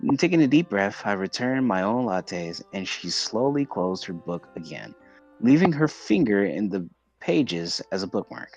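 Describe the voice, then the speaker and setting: A man's voice: on the low side, very animated, normal speed, darkish tone, soft background music, rough recording. A man reading visual novel narration aloud as a streamer, occasionally stumbling and rereading words.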